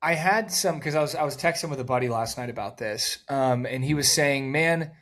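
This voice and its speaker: A man co-hosting a casual sports talk show, rambling and repeating words, with a loud voice that cuts through noise. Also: mid-range voice, brisk. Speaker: podcast co-host.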